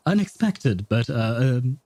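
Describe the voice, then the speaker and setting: A man chatting casually on a livestream while gaming, with a halting, rambling, amused way of talking. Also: medium pitch, very animated, warm tone, dry recording.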